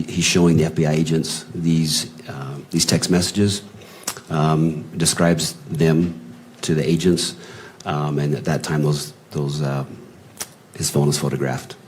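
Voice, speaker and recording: American male police witness, extremely low voice, factual and halting, courtroom audio with faint noise. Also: level tone, darkish tone. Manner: halting in long answers, brief factual replies. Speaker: law enforcement witness testifying. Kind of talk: courtroom testimony.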